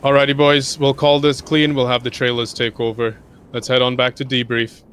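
A man leading a team in casual game voice chat, pausing hesitantly between phrases. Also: mid-range voice, level tone, a bit bright. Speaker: squad leader in an online tactical game. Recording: faintly noisy online game voice chat.

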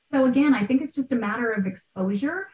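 A woman's voice: sits high, lively intonation, a little fast, a little mellow. An American woman, around her thirties, explaining things conversationally as a podcast guest, with emphasis on key words. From United States. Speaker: podcast guest, art history expert. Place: small bright room at home. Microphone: video call. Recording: roomy video-call sound.

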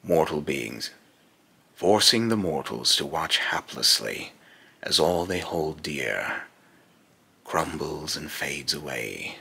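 A man's voice: very deep voice, expressive, sharp tone, full character voice. A young man playing a menacing, scornful godlike villain in pompous, theatrical monologue, recorded in a small room at home. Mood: grave, contemptuous. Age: young adult. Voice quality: gravelly, raspy. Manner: slow, pompous, theatrical delivery. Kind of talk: dramatic villain monologue, rehearsed voice lines.

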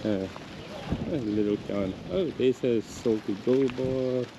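A man's voice: mid-range voice, slow pace, dull audio. A man with a South African accent giving casual, off-the-cuff vlog commentary from behind a handheld camera. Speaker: vlogger. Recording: noisy outdoor action-camera audio.

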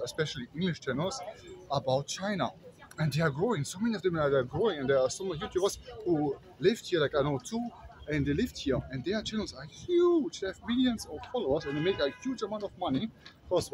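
A man's voice: on the high side, background noise. German-accented man vlogging on a night street. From Kazakhstan. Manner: rambling, many restarts and fillers, walking while talking. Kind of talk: casual walking vlog talk. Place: city streets at night, outdoors. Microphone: phone at arm's length.

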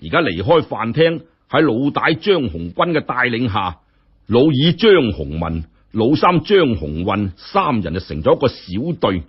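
Animated Hong Kong Cantonese male storyteller, husky. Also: medium pitch, measured pace, muffled recording. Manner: colloquial, theatrical, exclamatory. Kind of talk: animated scripted story narration.

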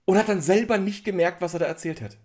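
A man's voice: high voice, quick pace, a crowd in the background. A man casually commenting on video clips as a reaction co-host, amused and laughing often. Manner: amused, laughs often, keeps urging "pass auf".

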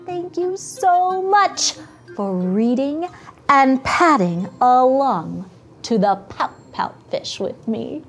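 A woman storyteller speaks to young children in deliberate picture-book style. Her voice is fairly high and very expressive. She takes her time over the phrases. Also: soft background music.

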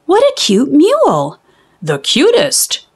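Youthful storybook mule, read by a woman, high-pitched, exclaiming, animated, measured pace. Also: exaggerated voice. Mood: admiring. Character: youthful cartoon mules, chatty and exclaiming. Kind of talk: children's storybook character reading.

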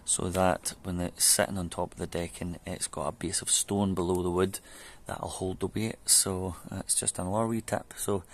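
Low-voiced man, chatty garden vlog. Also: flat delivery, normal speed, hardly any pauses. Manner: chatty, loose, with many ums and restarts. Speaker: home DIY vlogger. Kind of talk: casual how-to vlog. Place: back garden outdoors. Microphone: camera mic on a fixed camera.

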